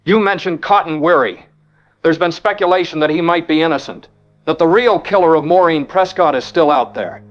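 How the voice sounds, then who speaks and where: A man's voice: a little high, moderate pace, natural voice. A man playing a radio interviewer in a drama, asking an expert probing questions steadily. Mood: steady, inquiring. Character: composed male radio host questioning an expert. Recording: dull lo-fi radio sound with faint music.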